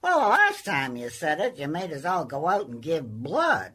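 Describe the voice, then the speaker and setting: A woman's voice: low voice, wildly swooping pitch, moderate pace, fairly bright tone, breathy edge, full character voice. An actress playing a sardonic, blunt older woman in a radio comedy. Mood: sardonic, irritated. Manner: blunt, wry. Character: cranky, sardonic older woman, neighbour of the family.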